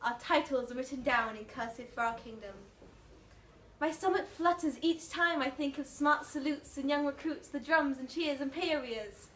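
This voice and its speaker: A woman's voice: high-pitched, moderate pace, fairly bright tone. A woman performing a fervent patriotic English soldier in a declamatory slam poetry recital. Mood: proud, stirred. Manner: declamatory, impassioned. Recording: noisy, echoey room, mic far off.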